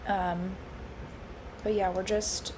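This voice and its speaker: A woman live-streaming her futures trading, congested and hoarse from allergies, thinking aloud with lots of fillers and restarts. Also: medium pitch, flat delivery, slow pace, noisy background. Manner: musing, with frequent fillers and restarts.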